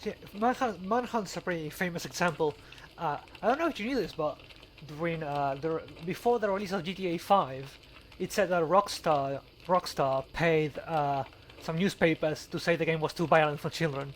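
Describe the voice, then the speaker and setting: A man co-hosting a casual chat, talking haltingly and often repeating words as he goes. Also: fairly high pitch, a little hiss or hum. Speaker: podcast co-host. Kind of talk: casual chat about video games.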